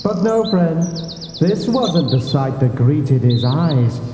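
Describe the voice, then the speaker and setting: A man's voice: on the high side, very animated, takes it slowly, mellow, slight background noise. A man reading a scripted comic mystery tale as a storyteller, in a melodramatic, mock-portentous manner. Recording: echoey, long reverb, music behind. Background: music.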